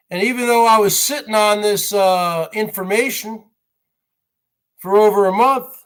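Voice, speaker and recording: A man's voice: extremely high pitch, a bit bright. An American man in his forties from New Jersey, ranting on a livestream in a rambling way and repeating his phrases insistently. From northern New Jersey, United States. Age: 40s. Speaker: livestream host.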